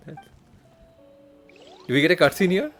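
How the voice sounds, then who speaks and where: A game streamer giving casual, halting live commentary on a playthrough. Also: medium pitch, very animated. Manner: halting, murmured reactions. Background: faint game music.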